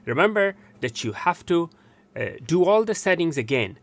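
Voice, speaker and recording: A man's voice: on the high side, big pitch swings, moderate pace. Man giving step-by-step technical instructions. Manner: instructional, repeats steps for emphasis. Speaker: tutorial presenter.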